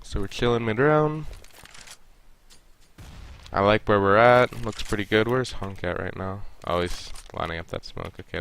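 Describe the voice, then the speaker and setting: A man's voice: low-pitched, fairly bright tone, clear, resonant tone. A young man with a stuffy nose, casually reviewing a team's game and coaching the players directly. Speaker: esports coach reviewing a match.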